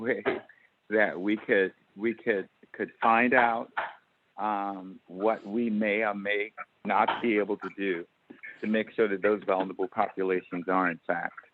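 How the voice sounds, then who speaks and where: A male council member speaking earnestly and insistently in a meeting, repeating words haltingly, over a phone conference line. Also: on the low side. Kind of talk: commenting in a meeting. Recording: tinny phone line, faint noise.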